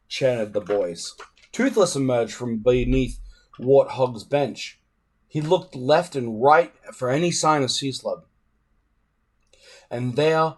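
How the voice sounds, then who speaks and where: A man reading a storybook aloud in an expressive, dramatic way. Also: medium pitch. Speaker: reader.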